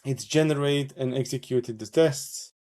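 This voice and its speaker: A man with a Slovak accent, presenting a live software workshop, talking through a demo with many fillers and self-corrections. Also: mid-range voice, fairly even intonation, a little slow.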